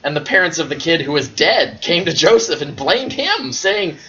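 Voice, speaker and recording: A man's voice: a little high, big pitch swings, moderate pace, sharp tone, lo-fi sound, soft background music. A man giving an amused dramatic reading of an old text at a social gathering, laughing between passages. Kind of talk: dramatic reading with comic asides.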